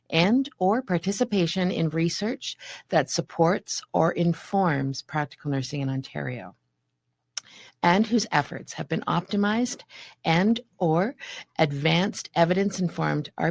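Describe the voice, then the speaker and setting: A woman's voice: lowish voice, darkish tone, dry recording. A woman hosting an awards event, announcing winners plainly and stumbling slightly now and then. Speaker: emcee. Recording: dry close sound.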